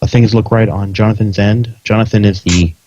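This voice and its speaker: Low-voiced American man, roomy sound. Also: steady tone, moderate pace, warm tone, muffled recording. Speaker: podcast host. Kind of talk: casual pre-show chat.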